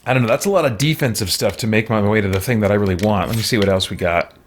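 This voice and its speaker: A man's voice: fairly low pitch, very fast, a little hiss or hum. A man giving casual game commentary, thinking aloud to himself in a musing, tentative way. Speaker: gamer hosting a let's play.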